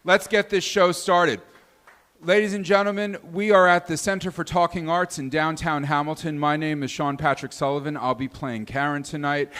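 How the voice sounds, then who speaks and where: A man's voice: on the high side, steady tone, normal speed, fairly bright tone, clean tone. A man hosting and introducing performers at a live stage show. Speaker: host and performer introducing the cast. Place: live venue stage with audience.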